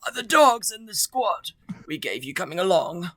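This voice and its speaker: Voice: medium pitch, big pitch swings, normal speed, a bit bright, husky, no echo. A performer voicing a grave senior government official in an amateur anime fan dub.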